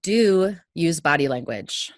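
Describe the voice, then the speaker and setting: A woman's voice: low-pitched, slow, no room sound, clean studio sound. A woman in her thirties from Northern Virginia with a Washington DC area American accent, chatting as a guest over a headset on a video call. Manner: chatty, animated with hand gestures. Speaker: guest, data visualization trainer. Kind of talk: casual podcast interview over video call. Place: small room at home.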